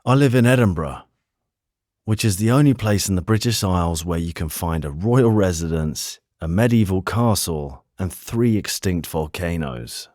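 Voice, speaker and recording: A man with a fairly low voice narrates a scripted city tour for language learners. His delivery is measured and clearly phrased, and the recording is dry and close. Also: animated, darkish tone, no room sound. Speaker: narrator of an English lesson.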